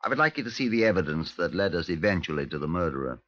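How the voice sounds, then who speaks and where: An actor playing a sober, dry British police inspector in a 1950s radio drama, clipped and matter-of-fact. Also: male voice, sits low, very animated, very fast, noisy background. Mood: grave. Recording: dull old radio recording.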